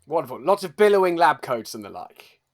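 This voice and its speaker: A man's voice: a little high, sing-song, a little fast. A man improvising in a tabletop role-playing game, hesitant and mock-earnest. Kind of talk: improvised role-play in character as an actor.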